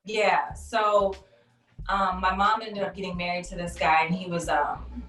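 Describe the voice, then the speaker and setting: Woman guest from Chicago's South Side, low register, relaxed and chatty; recorded from a distance in an echoey room. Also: unhurried. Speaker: podcast guest, entrepreneur. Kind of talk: casual interview chat. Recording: distant, echoey remote call audio.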